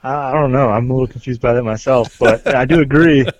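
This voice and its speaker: A man co-hosting a podcast who talks earnestly and explains things in detail, with many pauses and ums. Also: medium pitch, no room sound. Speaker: podcast co-host, police officer. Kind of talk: casual chat.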